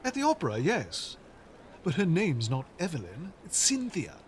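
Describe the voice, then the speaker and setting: An earnest, well-spoken gentleman in an audio drama. His voice is fairly high and very lively in pitch, with polite phrasing and faint noise behind it. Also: moderate pace. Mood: intrigued.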